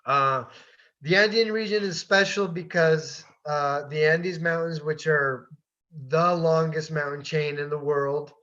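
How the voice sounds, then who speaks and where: A man in his forties from Colombia, presenting slides about his bird tour business, talking haltingly with many fillers and repeated words. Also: a little high, steady tone, bright, forceful tone. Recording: dull lo-fi sound.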